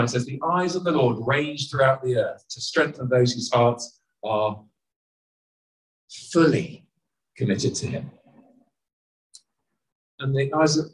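A man in his forties preaching a lively, conversational sermon, full of rhetorical questions, at an outdoor service in a car park. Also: on the high side, rough recording. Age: 40s.

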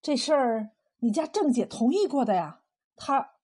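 A woman's voice: sits high, very animated, moderate pace, a little mellow, husky, heavily acted. A woman storyteller voicing an older, well-meaning village farmer, hesitant and placating. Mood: defensive, resigned.

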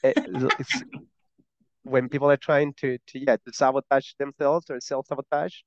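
A man's voice: mid-range voice, fairly even intonation, normal speed, dry recording, muffled recording. A man with a strong Spanish accent and Spanish as his first language, explaining earnestly as an interview guest, often repeating words as he goes. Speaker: guest, breathwork and somatic practitioner.

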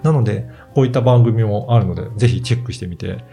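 A man's voice: fairly low pitch, quick pace, vocal fry, warm tone, faint music behind. A man co-hosting a podcast, explaining things conversationally in a polite manner. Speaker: podcast co-host.

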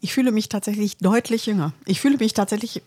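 A woman's voice: medium pitch, quick pace, a little mellow, husky. A woman in her fifties co-hosting a podcast in a casual, conversational way. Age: 50s. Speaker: podcast co-host. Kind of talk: casual chat between friends.

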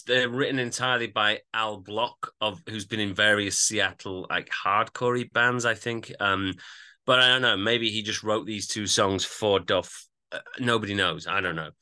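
A man co-hosting a podcast, giving casual track-by-track album commentary with measured critical judgments. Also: lowish voice, bright, forceful tone. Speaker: podcast co-host.

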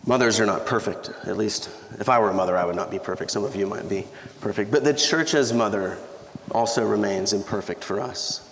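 A man's voice: lowish voice, brisk, vocal fry, a bit bright. A man in his thirties preaching a sermon in a church with a slightly scratchy voice from allergies, talking in a conversational, humorous way. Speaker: pastor. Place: church sanctuary. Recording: echoey church hall with reverb.

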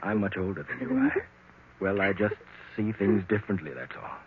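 A man's voice: on the low side, wildly swooping pitch, normal speed, slight background noise. An actor playing a weary, kindly older man with a hesitant, melancholy manner in a 1940s style radio mystery. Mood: melancholy, soothing. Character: weary, kindly, defeated old uncle. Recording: tinny, narrow vintage radio recording.

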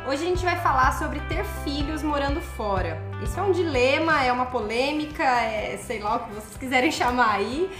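A woman co-hosting a casual podcast, lively and joking. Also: high voice, normal speed, few pauses, reverberant, music in the background. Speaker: podcast co-host.

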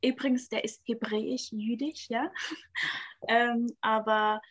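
A young woman with a fairly high voice chats casually in a group. She grew up in Germany with Spanish and Italian as first languages, talks in youth slang, acts out little quotes and keeps checking that the others follow her. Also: steady tone, measured pace. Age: young adult. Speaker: participant in a group talk.

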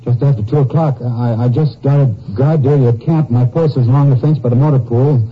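An actor playing a nervous, evasive army soldier in a 1950s radio drama, hesitant, stammering and defensive. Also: male voice, medium pitch, a little fast, breathy edge, close to an everyday voice. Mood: hesitant, explaining. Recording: muffled, noisy old 1950s radio recording.

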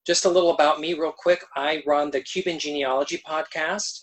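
A man's voice: fairly high pitch, steady tone, fairly quick, fairly bright tone, rough recording. Hesitant male podcast host. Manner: hesitant, repeats words, friendly questions. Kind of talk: podcast interview.

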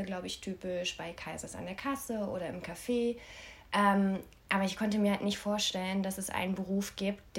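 A young adult woman from Berlin talking casually and candidly as a podcast guest about her own experiences. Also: lowish voice. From Berlin, Germany. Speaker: podcast guest, influencer marketer. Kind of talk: casual conversational interview.

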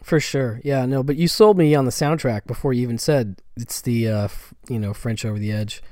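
A man with a medium-pitched voice chats casually as co-host of a film podcast. His voice is recorded dry, without any room sound around it. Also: a little fast, a little mellow, dry recording. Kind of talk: casual chat.

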